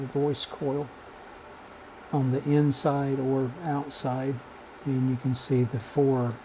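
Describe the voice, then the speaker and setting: A man narrating a vintage radio repair as a relaxed how-to voice-over, matter-of-fact and unhurried, with frequent "uh" pauses. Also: medium pitch, a little hiss or hum, poor audio. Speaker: radio restoration hobbyist.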